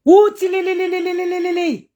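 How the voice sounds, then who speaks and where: A woman's voice: extremely high pitch, little pitch movement, brisk, mellow, dull audio. A woman from Cameroon, ranting indignantly on a live stream, stammering and chanting invocations. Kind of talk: impassioned live-stream rant.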